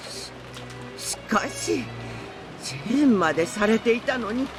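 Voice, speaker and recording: Nervous meek anime girl, highish voice, quick, music behind. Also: sing-song. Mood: anxious. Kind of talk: Japanese visual novel acting.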